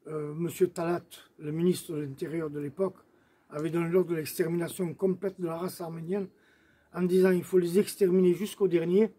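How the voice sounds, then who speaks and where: A man's voice: fairly high pitch, little pitch movement, brisk, warm tone, husky. A man speaking as a guest in an explanatory commemorative talk to camera. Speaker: guest, association president. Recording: faint background ambient noise.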